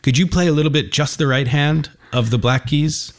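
A man's voice: on the high side, fairly even intonation, normal speed, background noise. A man speaking as an encouraging, complimentary host, asking simple guiding questions in a conversational broadcast interview. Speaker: host interviewing a young musician.

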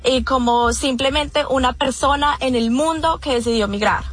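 Colombian woman guest, high voice, earnest, restarts often, faint music underneath. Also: normal speed. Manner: earnest, many fillers and restarts. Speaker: event organizer, guest. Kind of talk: radio interview.

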